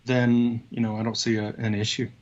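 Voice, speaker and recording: A man's voice: mid-range voice, flat delivery, a little mellow. Casual man on slightly muffled audio. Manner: halting, rephrasing as he goes. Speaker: show co-host. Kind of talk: casual conversation.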